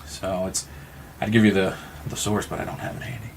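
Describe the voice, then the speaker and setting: A man from Missouri with an American accent, speaking as an interview guest in an earnest, halting, reflective way. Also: sits low, flat delivery, gravelly, a little hiss or hum. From Missouri, United States. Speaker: nonprofit representative and guest. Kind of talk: conversational interview.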